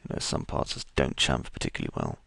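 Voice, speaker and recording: A man with a croaky voice, casually talking through each step of a 3D modeling tutorial as he works. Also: extremely low pitch, expressive, fast talker, fairly bright tone. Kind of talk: screen-recorded software tutorial.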